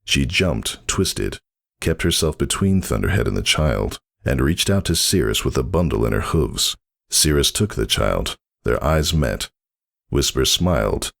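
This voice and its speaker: Very deep, gravelly male storyteller. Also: fairly bright tone. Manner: solemn, theatrical. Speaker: narrator. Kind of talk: dramatic scripted fan fiction narration.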